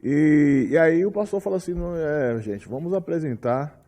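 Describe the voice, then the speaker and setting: A man in his forties with a Bahian accent and a mellow, warm voice talks on a podcast. He tells his life story in a lively way and acts out the lines people said to him. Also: on the high side, moderate pace. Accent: Bahian Brazilian Portuguese. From Salvador, Bahia, Brazil. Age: 40s. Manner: animated storyteller, acts out quotes. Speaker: musician guest.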